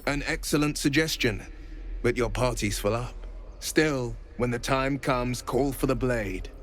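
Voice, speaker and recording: An actor playing a noble young hero sworn to hunt a devil, earnest, courteous and gallant. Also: male voice, mid-range voice, a little slow, fairly bright tone, a little hiss or hum, lightly acted. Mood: determined. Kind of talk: video game dialogue. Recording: faint music behind.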